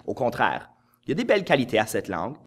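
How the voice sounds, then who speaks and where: A man's voice: mid-range voice, wildly swooping pitch, quick pace. A young Franco-Manitoban man delivering scripted film lines in a dry, wry way. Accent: Franco-Manitoban French. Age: young adult.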